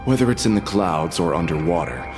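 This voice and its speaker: A surly, cocky former prisoner for a video game. His voice is extremely low, his lines terse and defiant, and music plays clearly behind him. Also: expressive, a little fast. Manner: terse, brash.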